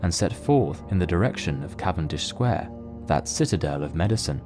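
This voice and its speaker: A man narrating a literary mystery as scripted audio drama, in a measured, solemn storytelling manner. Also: low voice, lively intonation, music in the background.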